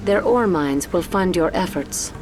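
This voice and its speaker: An actress playing a brisk Soviet military officer giving mission briefings in a video game. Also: female voice, on the low side, lively intonation. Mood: urgent, businesslike. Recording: music behind.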